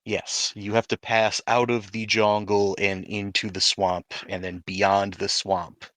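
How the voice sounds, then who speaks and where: Guy game mastering a tabletop rpg, lowish voice, close dry sound. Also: level tone, unhurried, a bit bright, no echo. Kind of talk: narrating a tabletop roleplaying game.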